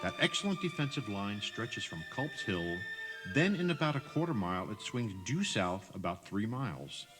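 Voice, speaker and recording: A man's voice: fairly low pitch, very animated, moderate pace, music in the background, poor audio. A man narrating a scripted audio tour, explaining things directly to the listener.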